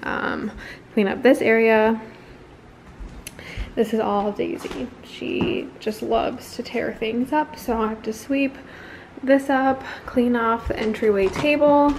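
A woman's voice: on the high side, a little hiss or hum, poor audio. A woman in her thirties chatting casually, as a vlogger does while showing viewers around at home. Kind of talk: casual chatty vlog talk. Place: indoors in a house living area.

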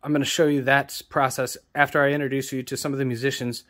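A man's voice: medium pitch, level tone, fast, a bit bright. A man in his forties, a songwriter and producer, explaining his process in a casual vlog, rambling and correcting himself, recorded on a phone held at arm's length in a small room. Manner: rambling, self-correcting, plain-spoken.

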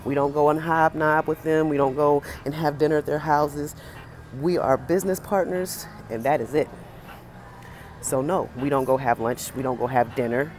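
An American woman in her forties talking casually and emphatically to camera as a fitness vlogger, pausing between phrases. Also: deep voice, fairly even intonation, darkish tone, a little hiss or hum. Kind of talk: casual opinionated talk to camera. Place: indoor gym with high ceiling.

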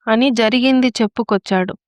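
Woman telling a story, voice kinda high, super dry recording. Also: a little fast, no echo. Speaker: story narrator. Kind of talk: scripted story narration.

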